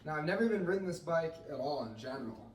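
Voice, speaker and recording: A man's voice: fairly high pitch, animated, fast talker, a little hiss or hum, rough recording. Chatty man vlogging his training. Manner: chatty, self-mocking, talks to viewers as guys. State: congested, clearing throat. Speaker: fitness vlogger. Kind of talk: casual vlog narration.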